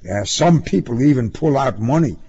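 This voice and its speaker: Man playing a loud, husky, bullying traffic cop. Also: mid-range voice, animated, moderate pace, dull audio. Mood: indignant. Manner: barking, bullying, accusatory. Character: burly, belligerent, sarcastic male traffic cop.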